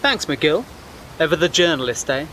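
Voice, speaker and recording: Polite english war reporter, lightish higher voice, wry n earnest, radio drama, bit of bg noise. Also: male voice, sing-song, moderate pace, pushed and bright, poor audio. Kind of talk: period audio drama acting.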